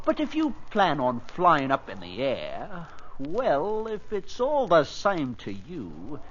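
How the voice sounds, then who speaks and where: An actor playing a wary, money-minded country carter, drawling and doubtful, in an old-time radio drama. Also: male voice, on the high side, wildly swooping pitch, slow, a bit bright, heavily acted. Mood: doubtful, hedging. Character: wary, money-minded rustic mule-cart owner. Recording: dull old radio with hiss.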